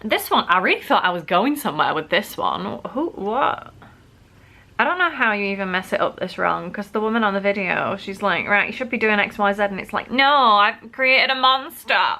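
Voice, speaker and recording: A woman's voice: mid-range voice, expressive, normal speed, sharp tone. A woman vlogger, sick and wheezy with a bad cough, chatting casually to camera and poking fun at herself. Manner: chatty, self-mocking, many "like" fillers.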